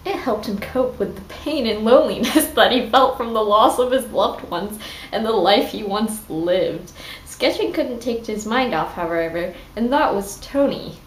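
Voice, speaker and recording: A woman's voice: on the high side, animated, measured pace. A woman reads aloud in a mock-dramatic way and keeps breaking off for snarky, casual commentary. She swears freely and trips over words. The room is echoey, with noise behind her. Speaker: amateur reader and commentator. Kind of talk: mock-dramatic fan fiction reading with casual snarky asides.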